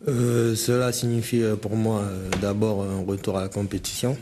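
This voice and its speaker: A man in his late twenties from French Guiana, a basketball player answering interview questions haltingly, with many pauses and fillers. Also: fairly low pitch, flat delivery, noisy background. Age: 20s.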